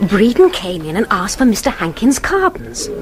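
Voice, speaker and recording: An actress playing a prim, excitable, gossipy young English office woman in a period radio drama, confiding with indignant emphasis. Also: mid-range voice, moderate pace, lightly acted. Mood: confiding, scandalised.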